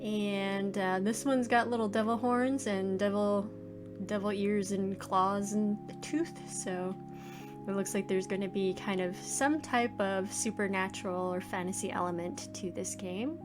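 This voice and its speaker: A woman's voice: medium pitch, steady tone, measured pace. A woman in her forties giving casual first-impressions game commentary, thinking aloud in hesitant, trailing phrases. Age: 40s. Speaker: let's play host, indie game developer. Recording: music playing behind the voice. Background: video game music.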